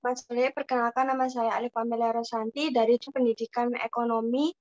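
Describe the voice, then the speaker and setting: A woman's voice: fairly high pitch, little pitch movement, a little fast, darkish tone, dry recording. A young adult woman, a university student, politely introducing herself. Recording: dull, dry online-call audio.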